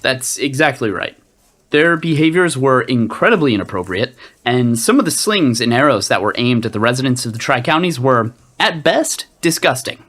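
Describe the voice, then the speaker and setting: A man's voice: mid-range voice, animated, moderate pace, a bit bright. A man playing an earnest, indignant radio field reporter in a scripted satire, speaking in a prim, formal manner. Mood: earnest indignation.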